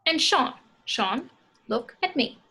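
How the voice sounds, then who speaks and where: A woman's voice: sits high, big pitch swings, slow, gravelly, bright, forceful tone, a little hiss or hum, full character voice. A woman reading the part of an exasperated but level-headed young peacemaker in an amateur table read of a film script. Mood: placating.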